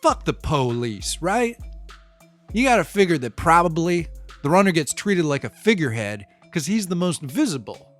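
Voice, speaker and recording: Man doing a young street cyclist, cynical and paranoid, profane slangy talk, rambling and conspiratorial, slightly high voice, faint music underneath. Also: fairly bright tone. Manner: rambling, conspiratorial, shifts between wry and fired-up. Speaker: local bike courier interviewed. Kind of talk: audio drama monologue.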